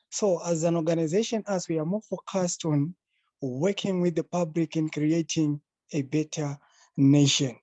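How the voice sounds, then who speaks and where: A man's voice: fairly high pitch, warm tone, no echo. Earnest young man from Zambia. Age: young adult. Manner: earnest, repeats words while searching for phrasing. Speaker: meeting participant. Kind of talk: asking a question in an online meeting. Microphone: video call.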